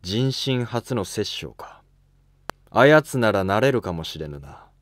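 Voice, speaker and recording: Guy doing retired emperor, calm shrewd boss, low voice, history drama. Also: a little slow, dry recording. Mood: appraising. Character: retired male emperor, commanding, shrewd and composed. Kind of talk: amateur historical drama dialogue.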